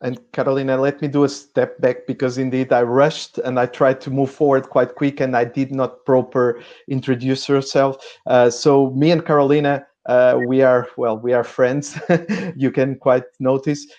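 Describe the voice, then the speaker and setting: Male panel moderator, hesitant, mellow tone, little melody. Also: medium pitch, flat delivery, moderate pace, hardly any pauses, highs cut off. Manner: hesitant, restarts often.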